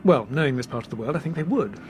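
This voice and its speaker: An actor playing a brisk young Englishman who reasons deliberately and articulately, in a British radio drama. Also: mid-range voice, lively intonation, lightly acted. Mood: reasoning, brisk. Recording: noisy train background ambience.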